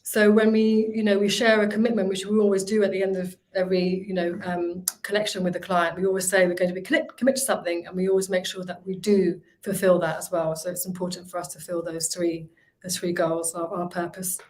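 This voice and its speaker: A woman's voice: on the low side, little pitch movement, brisk, darkish tone. A woman, presenting her recruitment company on a video call, talking freely with many fillers and restarts.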